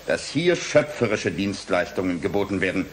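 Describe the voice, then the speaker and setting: A swooping, cynical, glib scientist. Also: male voice, deep voice, expressive, a little hiss or hum. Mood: smug, condescending. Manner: brisk, dismissive, rhetorical questions. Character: glib, cynical male brain-bank scientist, condescending. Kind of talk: German radio drama acting.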